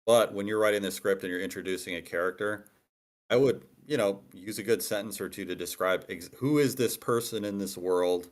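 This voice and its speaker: A man with a lowish voice debates casually in a workshop. He explains by example, often restarting and rewording his argument as he works through it. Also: a little fast. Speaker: participant in a screenwriting critique.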